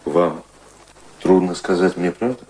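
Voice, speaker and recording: An actor in a radio drama playing a wry, practical, devoted gentleman, ironic and urgent, with expressive delivery. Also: low voice, measured pace. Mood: probing. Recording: faint background noise.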